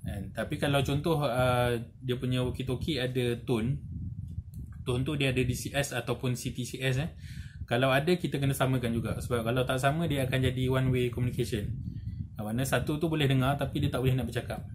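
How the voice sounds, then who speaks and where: A man with a Malaysian accent giving a casual product tutorial, explaining in a halting, repetitive way with frequent conversational tags. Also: mid-range voice, steady tone. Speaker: product reviewer and seller. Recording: noisy, with audible background noise and clicks.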